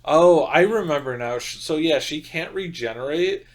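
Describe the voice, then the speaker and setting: A man's voice: a little high, expressive, moderate pace. A young man co-hosting a podcast, chatting casually in a profane, joking way. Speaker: podcast co-host. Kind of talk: casual comedic chat.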